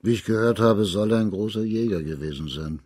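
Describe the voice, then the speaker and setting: A man plays a dignified high judge in a radio drama. His voice is on the low side and husky, recorded close and dry. He questions people formally and courteously, probing in measured phrases. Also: a little mellow, dry recording, natural voice. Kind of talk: German radio drama acting.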